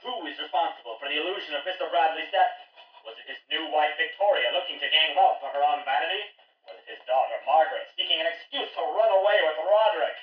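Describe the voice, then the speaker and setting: A male actor as a dramatic old-time radio announcer with a fairly high voice. He delivers everything with theatrical suspense. The sound is dull and roomy, set back from the mic. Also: quick pace, natural voice. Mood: suspenseful. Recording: dull, echoey, distant radio-play sound.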